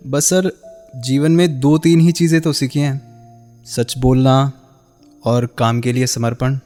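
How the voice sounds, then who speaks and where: Radio play role: male actor as quiet, principled interviewer and ex-lover, earnest and held back, music faintly underneath. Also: medium pitch, steady tone, a little slow, natural voice. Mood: modest, respectful. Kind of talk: radio drama acting.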